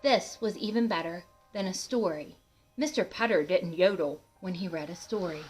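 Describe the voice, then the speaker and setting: A woman reading a picture book aloud to children in an animated way, adding asides and questions to the listeners. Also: lowish voice, normal speed, breathy edge, faint music behind, poor audio. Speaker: read-aloud host for children.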